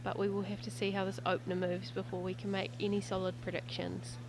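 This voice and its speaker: A woman's voice: mid-range voice, level tone, fast talker, gravelly, background noise. A native English-speaking woman doing live sports commentary, chatty and lightly joking.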